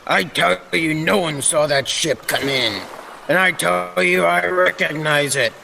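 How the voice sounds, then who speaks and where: An actor playing a stubborn, superstitious old sailor who speaks in emphatic salty dialect, in old-time radio style. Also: male voice, a little high, unhurried, gravelly, pushed and bright, slight background noise, full character voice. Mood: insistent.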